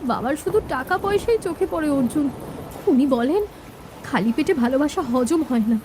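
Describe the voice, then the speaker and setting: A woman's voice: sits high, expressive, normal speed, darkish tone, a little hiss or hum, poor audio. A woman playing an anxious young village sweetheart in a cartoon, urgent and pleading.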